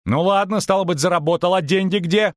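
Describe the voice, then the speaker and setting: Blustering halfling trader, played by a male narrator: high, loud, scolding, quick to insult, fantasy audiobook. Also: lively intonation, dry recording, studio quality, close to an everyday voice. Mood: furious, blustering. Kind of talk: audiobook character acting.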